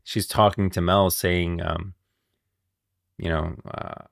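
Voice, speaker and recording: A man's voice: sits low, level tone, slow, gravelly, a bit bright, no echo, clean studio sound. A man streaming casual commentary on game lore, thinking aloud in a rambling way and often repeating himself. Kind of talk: casual live reaction commentary.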